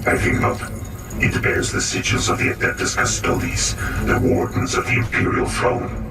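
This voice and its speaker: A man's voice: normal speed, over music, lightly acted. A man playing a grim, zealous space marine warrior in a video game cutscene, speaking in solemn, archaic military phrases. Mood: wary, grave. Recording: echoey, distant, over game soundtrack.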